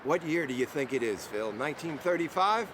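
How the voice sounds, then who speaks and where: An actor playing a pompous, disgruntled New York stage actor, full of sarcasm and indignation. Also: male voice, fairly high pitch, animated, a little fast, noisy background, lightly acted. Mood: indignant.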